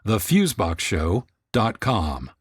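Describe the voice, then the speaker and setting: A man's voice: lowish voice, huge pitch swings, takes it slowly, heavy background noise. A man hosting a radio programme with scripted narration. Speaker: host.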